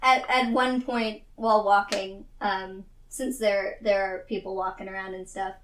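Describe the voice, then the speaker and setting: A woman's voice: mid-range voice, steady tone, unhurried, fairly bright tone, slight background noise. A woman playing a tabletop roleplaying game, casually describing what her character does and chatting with the group. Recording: echoey room sound.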